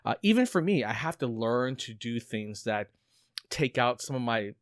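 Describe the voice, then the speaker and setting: A man's voice: medium pitch, sing-song, normal speed, dry recording, clean studio sound. Talkative man co-hosting a podcast. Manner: talkative, frequent "like" fillers, reflective. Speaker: podcast co-host. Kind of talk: casual conversational podcast.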